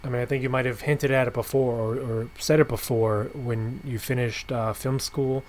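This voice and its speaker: A man hosting a podcast, chatting casually and haltingly as he rephrases his way through questions and remarks. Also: medium pitch, steady tone, a little mellow, slight background noise. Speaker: podcast host interviewing a writer. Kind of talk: casual conversational interview.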